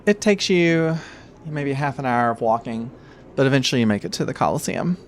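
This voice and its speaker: A man narrating a tabletop game as game master, improvising in a measured, halting way. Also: mid-range voice. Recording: steady noise behind the voice.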